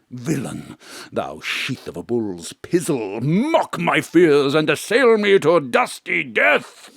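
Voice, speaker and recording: An actor playing a pompous, self-important stage actor who slips into theatrical mock-Shakespearean ranting. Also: male voice, high-pitched, creaky, dry recording, clean studio sound, exaggerated voice. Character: pompous hammy old male stage actor. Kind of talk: audio drama acting. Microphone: home studio microphone.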